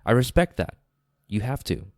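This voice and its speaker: A man giving a casual, earnest podcast monologue, repeating phrases for emphasis, slightly raspy and nasal with congestion from a lingering cold. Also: medium pitch, big pitch swings, normal speed, a little mellow, no room sound, clean studio sound. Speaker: podcast host. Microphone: close podcast microphone.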